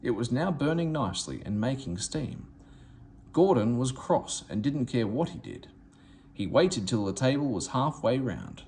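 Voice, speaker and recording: A man narrates a children's story with a very animated pitch range. His reading is expressive and dramatized, with faint background noise. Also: measured pace. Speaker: storybook reader. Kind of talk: children's story read aloud.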